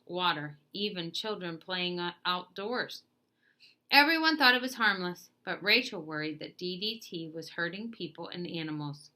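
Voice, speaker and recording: A woman reading a children's nonfiction book aloud, phrasing it carefully in short, clear pieces. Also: mid-range voice, sharp tone. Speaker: read-aloud narrator.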